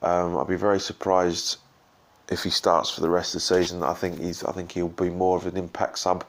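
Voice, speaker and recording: A man with a slightly croaky voice giving a rambling, casual post-match reaction as a football fan vlogger. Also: low voice, monotone, a little fast. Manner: rambling, full of ums and restarts. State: slightly croaky from shouting and drinks.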